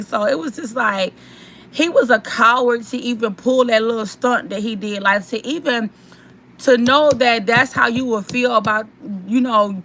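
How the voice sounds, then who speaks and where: A young woman vlogger with a hoarse, worn-out voice, chatting and rambling straight to her viewers. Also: mid-range voice, a bit bright, slight background noise, rough recording. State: hoarse, voice mostly gone. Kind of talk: casual chat to viewers.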